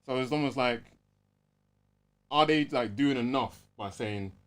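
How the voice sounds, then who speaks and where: A man with an average-pitched voice talks casually as a podcast host. He feels his way through each point, hesitating and framing thoughts as questions. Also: a little slow, a bit bright, clear, resonant tone.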